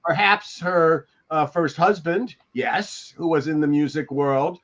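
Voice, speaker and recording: An older man reminiscing casually in a halting, rambling storytelling manner on a video call. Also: a little high, fairly bright tone. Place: indoor room on a video call. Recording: dull, lo-fi call audio.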